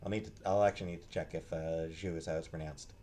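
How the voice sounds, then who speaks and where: A man giving a casual, unscripted book review in a halting, hesitant way, often trailing off and restarting. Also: sits low, fairly even intonation, dark tone, a little hiss or hum. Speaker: book reviewer, vlog host.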